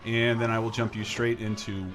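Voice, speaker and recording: A man's voice: mid-range voice, monotone, normal speed, over music. A man casually commentating as host of a gaming livestream, enthusiastic and wisecracking.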